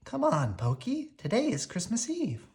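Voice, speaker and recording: A storyteller voicing a gentle but firm mother dog in a picture book, motherly and mildly scolding. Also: medium pitch, huge pitch swings, normal speed, voice pushed up. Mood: gently firm.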